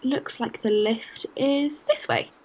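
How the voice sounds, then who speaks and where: A woman's voice: a little high, slow pace, a little mellow, breathy edge. A woman playing a friendly, practical alien courier in a science-fiction audio drama, easygoing and matter-of-fact. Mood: polite, businesslike.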